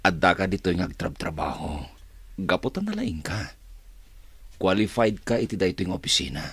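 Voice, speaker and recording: An actor playing a kind, protective office colleague in an Ilocano radio drama, earnest and reassuring. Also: male voice, deep voice, very animated, moderate pace, fairly bright tone, slightly rough, no echo, full character voice.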